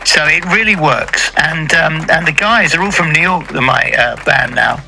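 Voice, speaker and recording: A man in his sixties from South London, a singer being interviewed, tipsy and halting, restarting his sentences. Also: on the high side, gravelly, pushed and bright, a little hiss or hum, rough recording. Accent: South London English. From England. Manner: halting, restarts, self-deprecating. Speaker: singer-songwriter guest. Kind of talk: casual interview.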